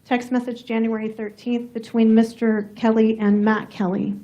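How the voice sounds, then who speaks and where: A soft-spoken woman prosecutor questioning a witness in a courtroom, measured and methodical. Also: a little high, level tone, dull audio. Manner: measured, formal, methodical questions with some fillers.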